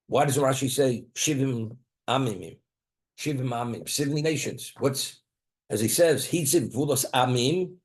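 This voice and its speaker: A man gives a Torah class at a mid-range pitch. He keeps asking rhetorical questions and answering them himself, dropping Hebrew and Aramaic phrases into his English. He thinks aloud, repeating words and restarting as he goes. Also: a little mellow. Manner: mixes Hebrew and Aramaic terms into English explanation. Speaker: Torah teacher.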